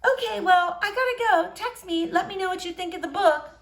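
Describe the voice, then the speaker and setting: A woman's voice: very high voice, a little fast, a bit bright, pitched above the performer's own voice. A woman voicing a perky, friendly schoolgirl in a storybook reading, chatty and upbeat.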